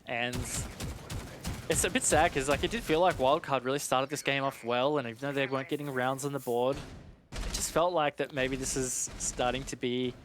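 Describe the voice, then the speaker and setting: A man's voice: mid-range voice, steady tone, moderate pace. A man giving analytical live commentary on an esports match in a conversational, explanatory way. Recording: clear game noise, gunfire behind.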